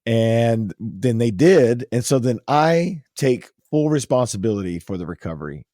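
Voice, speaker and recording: A hoarse man from the United States hosting a casual podcast, boisterous and emotional. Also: medium pitch, animated, a little slow, warm tone, no room sound, studio quality. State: hoarse from cheering. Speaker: podcast host.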